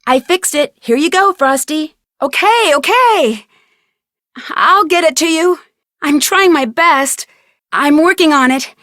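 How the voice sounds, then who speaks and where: High-voiced plucky young detective. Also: female voice, animated, slow, a bit bright, dry recording, studio quality, exaggerated voice. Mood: cheerful, eager. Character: young female amateur detective, plucky, curious, helpful. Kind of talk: scripted video game voice acting.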